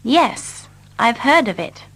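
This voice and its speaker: A woman repeating short English dialogue lines slowly and carefully for learners. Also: fairly high pitch, big pitch swings, a bit bright, husky, poor audio. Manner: carefully repeats each line. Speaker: English teacher. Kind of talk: slow scripted reading for learners.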